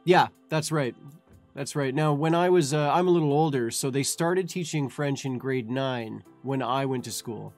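A man's voice: mid-range voice, normal speed, a little mellow. Chatty Canadian man, faint music. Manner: friendly, chatty, many fillers and restarts. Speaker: caller in a language exchange chat. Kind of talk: casual chat.

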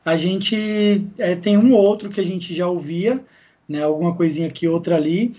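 A man's voice: high voice, normal speed, warm tone. A Brazilian man chatting casually as a guest in a podcast interview. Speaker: podcast guest, audio drama creator.

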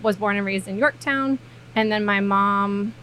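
A woman's voice: medium pitch, a little slow, pushed and bright. A woman from the Maryland and Virginia area, a guest accountant chatting casually on a podcast with lots of fillers. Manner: chatty, frequent fillers, laughs easily. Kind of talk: casual interview chat.

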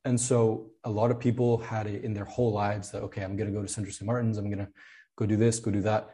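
A man's voice: on the low side, little pitch movement, fast talker, warm tone. A man in his twenties from Spain, speaking as a podcast guest, talking casually with many fillers, restarts and laughs. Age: 20s. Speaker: podcast guest, product designer. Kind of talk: casual interview chat.

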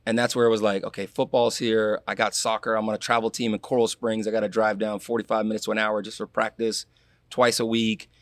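Lively young man telling stories. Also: on the low side, fairly quick, dry recording. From Florida. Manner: animated storyteller, acts out quotes, laughs. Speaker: guest, former college athlete. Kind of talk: casual interview chat.

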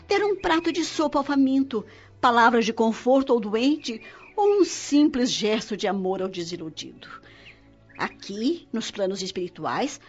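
A woman's voice: on the high side, darkish tone, slightly rough. A woman with a Brazilian accent playing a loving, devout spirit mother in a radio drama, tender and solemn as she exhorts her son. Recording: slightly dull radio drama with music. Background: music.

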